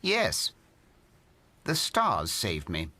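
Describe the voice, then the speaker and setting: A man's voice: low-pitched, wildly swooping pitch, very slow, sharp tone, no room sound, heavily acted. An actor playing an impassioned, gallant English amateur astronomer in a radio drama, emphatic and old-fashioned in his speech. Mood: tender, rueful. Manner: old-fashioned upper-class turns of phrase, emphatic.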